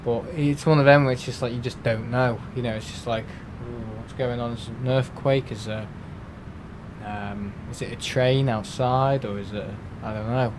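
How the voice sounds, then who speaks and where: A rambling man with a Merseyside accent chatting casually to his live viewers at an everyday mid pitch, full of fillers and restarts. A faint low rumble hums underneath his voice. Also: fairly even intonation, moderate pace. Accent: Merseyside English. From England. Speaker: livestream host.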